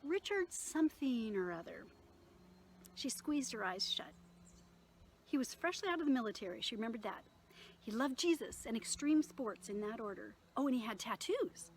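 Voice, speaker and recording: A woman reading her own novel aloud in an expressive storytelling manner, with occasional small stumbles. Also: a little high, normal speed, a little hiss or hum.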